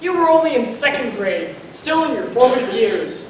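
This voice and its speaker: Teenage female stage narrator, high and dramatic, comic storytelling, heard in an echoey room with clear background noise. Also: very animated, moderate pace, distant mic. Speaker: student performer, narrator.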